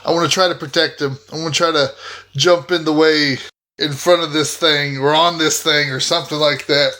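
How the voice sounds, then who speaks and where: A man talks casually as a player in a tabletop game. His voice is bright and forceful, pitched a little high. He is jokey and thinks out loud as he decides his moves. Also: steady tone, normal speed. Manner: jokey, thinking aloud, repeating himself.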